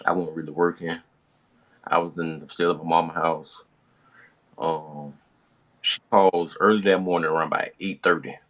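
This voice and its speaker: A man's voice: low voice, level tone, moderate pace, long pauses, fairly bright tone. An American man hosting a podcast, casually telling stories from his younger days in slangy, profane talk, acting out the dialogue as he goes. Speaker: podcast host.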